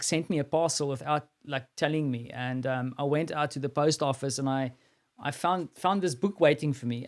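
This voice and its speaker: A man with a South African accent hosting a live stream, talking casually and rambling with many fillers and restarts. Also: fairly high pitch.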